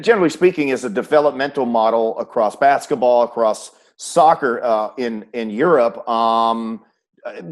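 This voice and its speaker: An American man working as a sports show host, asking questions in a conversational interview style. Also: mid-range voice.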